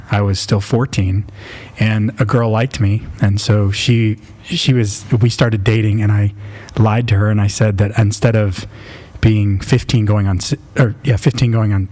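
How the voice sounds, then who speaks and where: A man's voice: on the low side, fairly even intonation, normal speed, darkish tone. A man in his late thirties hosting a podcast, chatting casually and telling personal stories in an informal, self-deprecating way. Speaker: podcast host.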